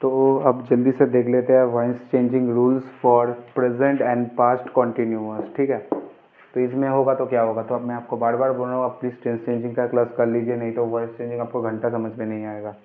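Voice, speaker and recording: Muffled phone-sounding audio, guy with teaching grammar, pretty flat tone, asks and answers his own questions. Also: mid-range voice, brisk. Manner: instructive, poses questions and answers them. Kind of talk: informal tutorial explanation.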